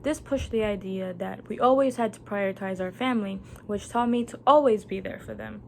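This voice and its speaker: A young woman from the Philippines, a Tagalog speaker, giving an earnest, measured prepared speech. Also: medium pitch, vocal fry, darkish tone, slight background noise.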